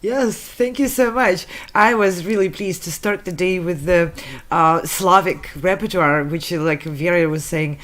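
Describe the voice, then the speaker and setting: Gracious low-voiced Russian-native woman. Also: fairly bright tone. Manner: gracious, many filler pauses. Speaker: jury moderator. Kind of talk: panel feedback and announcing.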